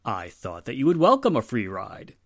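An actor playing a firm, courteous police inspector with a formal, authoritative manner in 1940s radio drama style. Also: male voice, medium pitch, wildly swooping pitch, normal speed, dry recording, studio quality. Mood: polite, measured.

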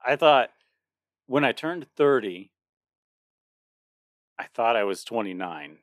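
A man's voice: medium pitch, expressive, takes it slowly, no room sound, studio quality. A man in his late thirties co-hosting a podcast in a casual chat, rambling with frequent restarts and laughter. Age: 30s. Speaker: podcast co-host.

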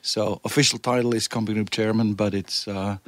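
Belgian guest in his fifties, low-pitched, relaxed and plain-spoken. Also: a little fast, no room sound. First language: Flemish. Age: 50s. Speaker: pharmaceutical executive, guest. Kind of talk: casual interview chat.

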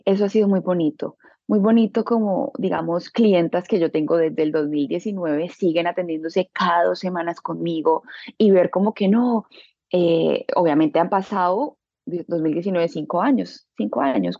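A woman's voice: fairly low pitch, normal speed, creaky, slightly rough, dry recording, dull audio. A woman with a Colombian accent telling personal stories casually and colloquially, expressively acting out what people said. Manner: colloquial, expressive, acts out quoted dialogue. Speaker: interview guest, beauty business owner.

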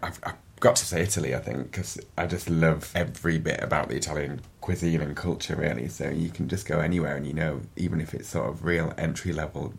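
A man's voice: extremely low pitch, steady tone, fairly quick, vocal fry, hardly any pauses, breathy edge. A middle-aged man with a Yorkshire accent, chatting as a podcast guest and often repeating words as he starts a sentence. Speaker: guest, events agency director. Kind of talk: casual interview chat.

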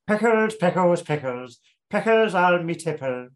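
A man's voice: fairly high pitch, slow, fairly bright tone. A man playing a mischievous, crude male pixie thief in a comic audio story, talking in sing-song rhymes. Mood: gleeful singing.

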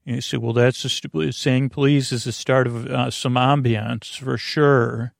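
Man, creaky drowsy voice, dry close mic, rambling bedtime talk, halting. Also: mid-range voice, flat delivery, no echo. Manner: drowsy, self-deprecating asides. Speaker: sleep podcast host.

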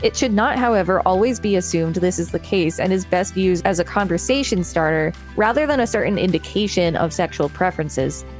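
A woman's voice: on the low side, animated, brisk, few pauses, soft background music. A woman co-hosting a podcast, reading an article aloud with casual asides.

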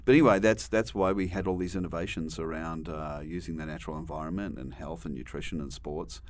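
A man's voice: very deep voice, fast talker. A middle-aged man from New Zealand with a New Zealand accent, a guest telling stories in a rambling way, with many restarts and dry humor. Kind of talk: conversational interview storytelling.

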